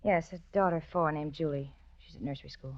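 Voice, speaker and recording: Woman acting jaded sarcastic housewife, lowish voice, 40s radio noir, kinda muffled old recording. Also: fast, lightly acted. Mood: bitter, sardonic. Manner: dry, sarcastic, blunt. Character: bored, bitter, sardonic housewife femme fatale. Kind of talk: 1940s radio suspense acting.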